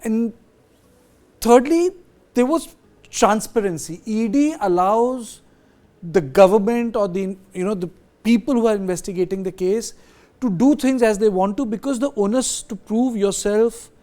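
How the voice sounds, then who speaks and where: A man with an Indian accent giving political analysis as a journalist panelist, halting and emphatic, repeating phrases. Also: high-pitched, warm tone.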